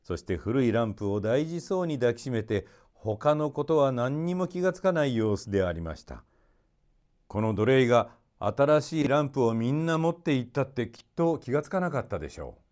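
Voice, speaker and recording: Male storybook reader, mid-range voice with very animated intonation, courteous measured delivery. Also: medium pitch. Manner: polite, measured storytelling that shifts into character. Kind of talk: expressive storybook narration.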